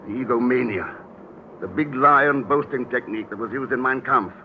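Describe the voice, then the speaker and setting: An actor playing a calm, confident male secret agent, speaking in a measured way, 1940s radio-drama style. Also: medium pitch, natural voice. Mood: composed. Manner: measured, unruffled. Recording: tinny old radio recording with faint hiss.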